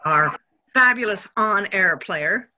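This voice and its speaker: A woman's voice: mid-range voice, expressive, slow, sharp tone, low-quality recording. A woman hosting a show in a casual conversational style. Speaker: show host.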